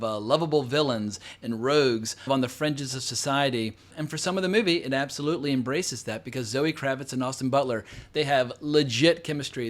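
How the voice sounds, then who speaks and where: A middle-aged man giving a casual, enthusiastic film review, rambling at length and swearing freely for emphasis. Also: mid-range voice. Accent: non-local, not New York. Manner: long-winded, chatty, with frequent fillers.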